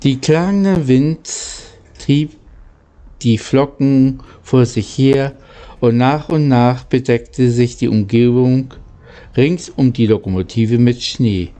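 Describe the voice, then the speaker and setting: A man's voice: mid-range voice, mellow. A man reading aloud as a storyteller and host, stumbling now and then over his words. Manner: halting reading with occasional misreadings. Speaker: audiobook reader and channel host. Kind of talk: storybook narration.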